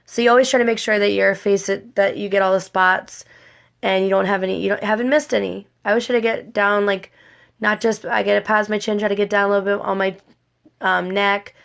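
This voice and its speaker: A woman with a slightly raspy, scratchy voice, hoarse from a cold, giving a casual makeup tutorial in a rambling, repetitive way and often correcting herself. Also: medium pitch, steady tone, fairly quick, fairly bright tone. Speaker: cosplay makeup vlogger.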